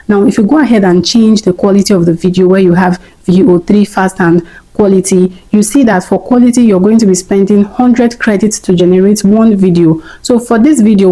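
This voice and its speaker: Lady explaining a software tutorial step by step, mellow and calm, mid pitch. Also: poor audio. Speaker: YouTube tutorial host. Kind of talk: screen-recorded software tutorial.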